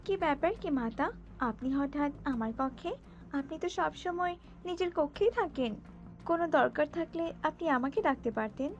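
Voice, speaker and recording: A woman's voice: high-pitched, lively intonation, normal speed, highs cut off, pitched above the performer's own voice. A woman voicing a proud, cold fairy queen in a cartoon, speaking in a haughty, defensive, commanding way. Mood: uneasy, polite. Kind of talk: cartoon voice acting.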